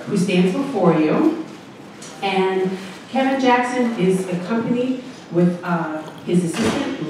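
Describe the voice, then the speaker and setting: A woman's voice: mid-range voice, darkish tone. A woman hosting a university celebration, announcing the program in an upbeat way. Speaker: event emcee. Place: university event room. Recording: echoey hall, distant mic, some noise.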